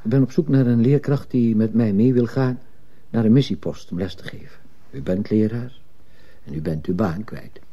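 A man playing an earnest, morally insistent missionary priest in a radio play, speaking solemnly and deliberately. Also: on the low side, dark tone. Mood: persuasive. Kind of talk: earnest Dutch-language radio play acting. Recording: muffled, dull old radio play recording.

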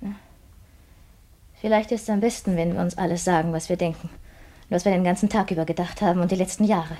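An actress in a German radio play playing an anguished, guilt-ridden newlywed widow, speaking haltingly and repeating words when agitated. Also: female voice, fairly low pitch, fairly even intonation, warm tone, natural voice. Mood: brooding, pleading.

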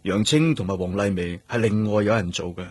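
An actor with a Hong Kong Cantonese accent playing a stubborn, weary young police detective in a radio drama, defiant and insistent. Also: male voice, fairly low pitch, a little fast, darkish tone, husky, dry recording. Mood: restrained, firm. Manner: defiant, insistent, repeating himself for emphasis.

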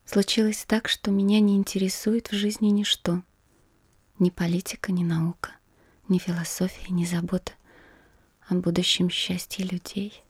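An actress playing a grave, troubled noblewoman in an audio drama, reading aloud in a hushed, restrained way. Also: lowish voice, little pitch movement, moderate pace, husky, no room sound, studio quality. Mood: sorrowful, tender.

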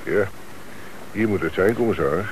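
An actor in a Dutch radio play playing an obedient police subordinate, brisk and dutiful. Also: male voice, low-pitched, close to an everyday voice. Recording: noisy old radio play recording.

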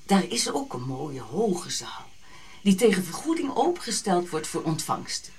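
A woman's voice: fairly low pitch, very animated, a little slow, a little mellow. A middle-aged woman playing a pushy colonial society lady in a radio drama, gushing, grand and coquettish. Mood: pushy, businesslike.

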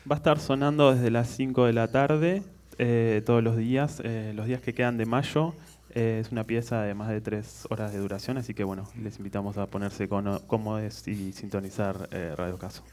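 Over faint background noise, a man with a fairly low voice makes an informal announcement on live radio, in the manner of a co-host. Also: fairly even intonation, moderate pace, a little mellow. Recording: clear background ambient noise.

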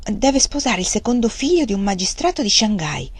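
A woman reading the part of a quiet, patient young peasant wife who speaks calmly and evenly. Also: medium pitch, breathy edge, no echo. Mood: reporting. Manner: calm, measured.

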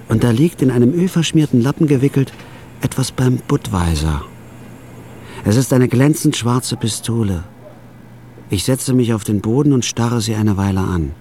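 A man narrating a radio drama in the first person as a store clerk, in a flat, laconic, hard-boiled way. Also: medium pitch, gravelly, a little hiss or hum.

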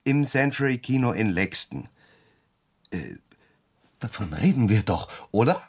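Shifty, husky, wildly swooping male suspect. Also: on the low side, very animated, unhurried, a little mellow, heavily acted. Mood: sullen self-justifying. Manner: evasive, self-justifying. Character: shifty, defensive, whining male petty sex offender under questioning. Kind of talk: 1970s German radio crime drama acting.

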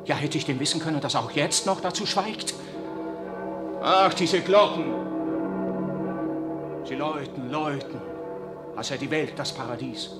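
An actor playing an idealistic young priest, speaking with impassioned, declamatory fervor in a 1960s radio drama. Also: male voice, a little high, normal speed, long pauses, loud music behind the voice, natural voice.

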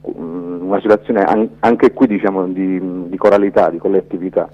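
Male interviewee, very low voice, thoughtful and halting delivery, muffled lo-fi radio sound. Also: steady tone, a little hiss or hum. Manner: hesitant, halting, reflective, searching for words. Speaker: documentary filmmaker, interview guest. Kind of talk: spontaneous radio interview answers. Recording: dull lo-fi radio interview audio.

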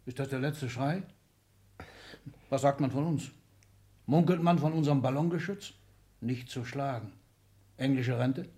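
An actor playing an ailing, scheming old industrialist patriarch in a 1960s German radio drama, commanding and emphatic. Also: male voice, mid-range voice, unhurried, long pauses, dark tone, lightly acted. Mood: brisk, probing. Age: older. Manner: commanding, emphatic, lecturing, swinging from muttering to outbursts.

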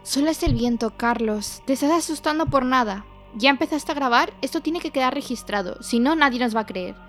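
A woman playing a brash, sceptical young ghost debunker, bossy and forceful, in a horror audio drama. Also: high voice, expressive, brisk, faint music behind. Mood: dismissive.

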